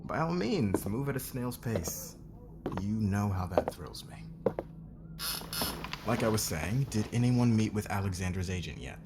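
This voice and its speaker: A man's voice: lowish voice, lively intonation, measured pace. A man playing a curt, sarcastic, demanding fashion magazine boss in a scripted audio roleplay. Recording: noisy background ambience.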